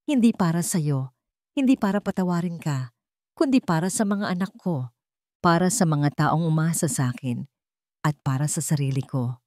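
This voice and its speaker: A woman's voice: low voice, expressive, normal speed, a little mellow, no echo, studio quality. Woman as a firm, determined wronged heroine. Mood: steely resolve. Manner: firm, resolute. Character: wronged young mother, determined and proud heroine. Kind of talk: dramatic audio storybook acting.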